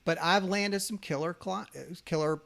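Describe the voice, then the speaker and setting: Upbeat podcast host, fairly high voice. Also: male voice, fairly even intonation, moderate pace, vocal fry, a bit bright. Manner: enthusiastic and chatty, talks a lot about his own experience. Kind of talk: casual interview chat.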